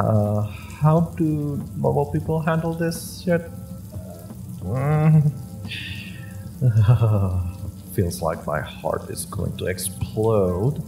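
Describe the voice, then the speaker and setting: Nerdy superhero played by a man for audio roleplay; nervous and halting, with a gravelly creak and unhurried pacing. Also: low-pitched, full character voice. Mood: nervous, overwhelmed. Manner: halting, flustered, stammering. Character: nervous, nerdy young male superhero, flustered and earnest.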